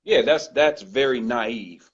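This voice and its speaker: Male guest, loud and emphatic, raspy edge, muffled lo-fi sound. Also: mid-range voice, takes it slowly. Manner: earnest, emphatic, repeats points. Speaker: guest speaker. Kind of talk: conversational discussion. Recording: dull lo-fi recording.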